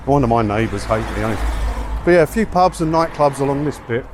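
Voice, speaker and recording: A man's voice: mid-range voice, animated, fast. Chatty English man vlogging outdoors, some street noise. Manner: chatty, rambling, self-deprecating, grumbling. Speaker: video blogger, host. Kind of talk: casual walk-and-talk vlog. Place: outdoors, walking along a windy seaside town street. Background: wind, street and vehicle noise.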